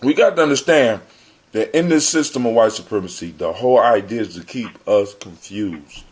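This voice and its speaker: A man's voice: lowish voice, moderate pace. An American man hosting a live community talk show, chatting casually and in a folksy way to his listeners like family. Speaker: host of a live call-in show.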